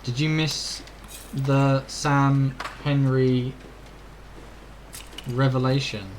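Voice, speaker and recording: A British man talks off the cuff to his viewers as he streams a game. His mid-pitched voice is informal and rambling, and a little game ambience sits faintly behind it. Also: level tone, extremely slow, slight background noise. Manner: informal, rambling, mild swearing. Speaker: video game live streamer. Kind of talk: casual chat to viewers during gameplay.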